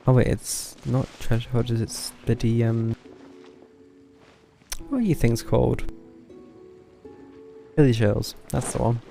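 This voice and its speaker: A man's voice: medium pitch, fairly even intonation, a little slow, creaky, long pauses, warm tone. A man giving casual gameplay commentary as a streamer, mumbling as he thinks aloud. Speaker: game streamer. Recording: game music behind the voice.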